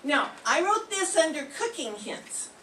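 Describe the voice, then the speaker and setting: Older lady doing a ditzy young girl in an old radio comedy, high bouncy voice, slow, echoey room far from the mic. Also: big pitch swings, pushed and bright, full character voice. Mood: naive. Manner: slow, innocent, literal-minded delivery. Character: naive scatterbrained young woman Irma. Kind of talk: 1940s radio sitcom acting. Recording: roomy classroom from far off, faint hiss.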